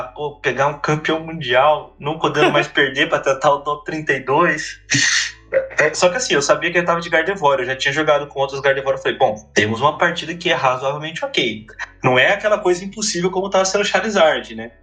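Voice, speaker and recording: Bright-voiced man recounting matches. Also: fairly high pitch, fast, faint music behind. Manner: relaxed storytelling with slang and frequent restarts. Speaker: podcast co-host, competitive card player. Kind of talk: casual podcast chat.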